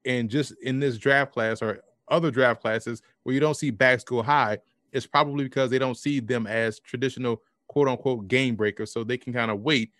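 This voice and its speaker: A man's voice: mid-range voice, fairly even intonation, moderate pace, fairly bright tone, no room sound, studio quality. A man from New Orleans appearing as a football analyst guest on a sports podcast, explaining his views and often restarting phrases. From New Orleans, Louisiana.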